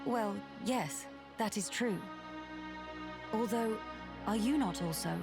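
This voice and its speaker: An actress voices a young imperial heiress in a video game cutscene, gentle and regal. She speaks very slowly and formally, with stately measure. Faint music sits behind her. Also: medium pitch, lightly acted. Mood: earnest, gentle.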